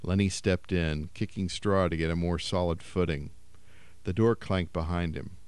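A man reading a short story aloud in a measured storytelling voice. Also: deep voice, fairly even intonation, a little mellow, smooth, dry recording. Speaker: story reader.

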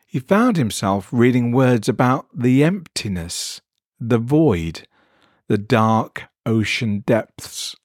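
A man narrates a short story in a low voice. His delivery is animated, with wide swings in pitch, and the sound is dry and close. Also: no room sound. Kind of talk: expressive scripted story narration.

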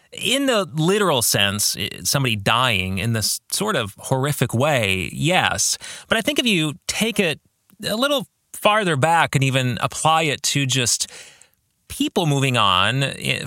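Bright-voiced man, expressive and measured. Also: medium pitch, no room sound, studio quality. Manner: earnest, emphatic, deliberate pauses. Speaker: podcast host and pastor. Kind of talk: reflective book discussion with read-out passages.

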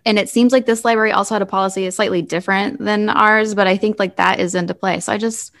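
A woman's voice: medium pitch, steady tone, quick pace, fairly bright tone. A woman co-hosting a podcast, talking in a casual conversational way with a panel. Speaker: library podcast co-host. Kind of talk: casual panel chat, introducing a news item.

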